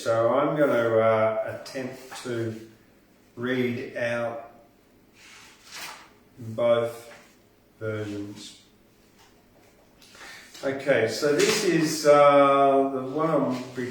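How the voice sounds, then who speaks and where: A man hosts a poetry reading with a slow, deliberate delivery and a medium-pitched voice. He sounds informal, like a live presenter. The room is heavily reverberant and he is a little way from the microphone. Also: a little hiss or hum. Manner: unhurried, deliberate, dramatic when reciting verse. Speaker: poetry podcast host and reader. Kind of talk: casual live poetry reading and event announcements. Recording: very echoey room, distant from mic.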